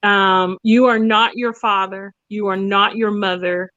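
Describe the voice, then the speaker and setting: A woman's voice: mid-range voice, level tone, unhurried, pushed and bright, no room sound, lo-fi sound. A woman talking conversationally and explaining things emphatically through anecdotes and analogies.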